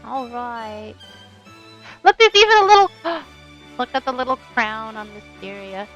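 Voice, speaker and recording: A woman's voice: on the high side, animated, measured pace, fairly bright tone, dull audio. A woman with a bubbly, playful manner, talking as a game streamer on a livestream. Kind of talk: casual livestream chat with read-aloud game narration. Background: game music.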